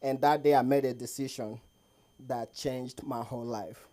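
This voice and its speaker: A man's voice: medium pitch, steady tone, normal speed, mellow. Nigerian man doing stand-up comedy. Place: small open mic comedy show with audience.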